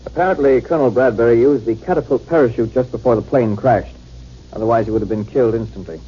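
An American actor playing a dutiful military radio operator in a 1950s radio drama, formal and measured with his call signs. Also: medium pitch, breathy edge, close to an everyday voice. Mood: sober. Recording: muffled, noisy old 1950s radio recording.

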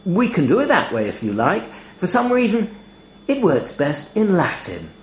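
A man voicing a demon raven in a story, a courteous, sly bargainer with chatty, reassuring patter and quiet menace. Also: high voice, wildly swooping pitch, moderate pace, slight background noise, pitched above the performer's own voice. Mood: smooth persuasion. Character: bird demon toll raven, courteous, sly, chillingly matter-of-fact bargainer. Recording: roomy, echoey voice recording.

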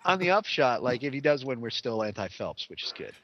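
A man's voice: medium pitch, sing-song, brisk, dull audio, a little hiss or hum. A man co-hosting a casual comedy podcast, joking and sarcastic in loose chat. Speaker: podcast co-host.

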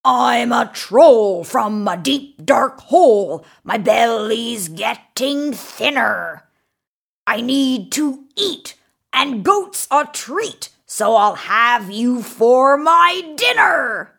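A woman's voice: a little high, steady tone, extremely slow, vocal fry, few pauses, voice pushed up, exaggerated voice. A woman voicing a big, hairy, furious male troll in a children's story, roaring and chanting in a menacing sing-song.

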